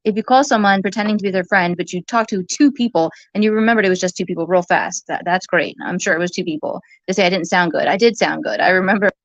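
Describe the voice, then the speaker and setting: A soft-voiced woman talking casually as in a vlog, halting and rambling. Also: fairly low pitch, dry recording, muffled recording.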